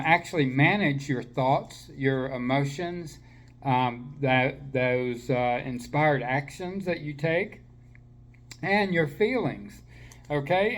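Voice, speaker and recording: Male spiritual teacher, slightly raised voice, slow unhurried delivery, a bit of a cold, gentle, lots of ums. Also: on the high side. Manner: slow, gentle, with many fillers and restarts. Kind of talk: spiritual talk to listeners.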